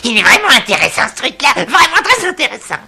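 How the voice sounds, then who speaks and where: A woman voicing a tiny, excitable cave man sidekick in a cartoon dub, squeaky, whiny and frantic. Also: fast talker, vocal fry, full character voice. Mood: excited. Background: music.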